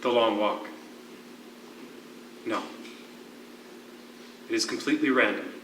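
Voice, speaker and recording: Anguished jailed poet played by a man, theatrical, deliberate and broken by grief. Distant mic, noisy recording. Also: on the low side, fairly bright tone. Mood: weary, grim. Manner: deliberate, drawn-out phrasing with dramatic pauses. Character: anguished imprisoned poet, a grieving, defeated widower. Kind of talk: theatrical stage acting, dramatic monologue. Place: theatre stage, miked from a distance. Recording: echoey, noisy stage recording from far off.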